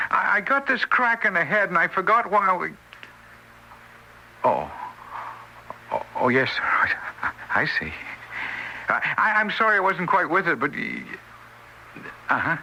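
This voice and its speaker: An actor playing a bumbling, meek, kindly ghost guide who stammers deferentially, in old-time radio drama style. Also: male voice, sits high, big pitch swings, takes it slowly, long pauses, sharp tone, heavily acted, rough recording. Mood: flustered, nervous. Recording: hissy vintage radio play recording.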